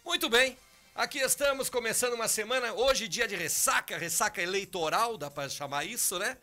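Hoarse radio host. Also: male voice, high voice, brisk, sharp tone, soft background music. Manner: chatty, easygoing, talks over cohost. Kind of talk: casual morning show chat. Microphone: studio microphone.

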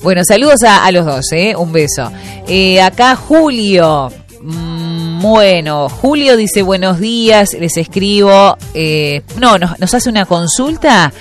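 A woman's voice: medium pitch, lively intonation, slow, faint music behind. A Uruguayan woman hosting a local radio show, chatting casually and reading listener messages with lots of fillers. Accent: Uruguayan Spanish. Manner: chatty, many fillers and restarts. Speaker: radio host.

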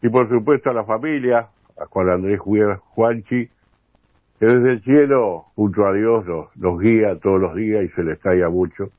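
An older man with a Uruguayan accent hosting a radio interview programme, with a halting delivery that often repeats words. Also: fairly low pitch. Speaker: radio host. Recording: tinny phone-like radio sound, roomy echo.

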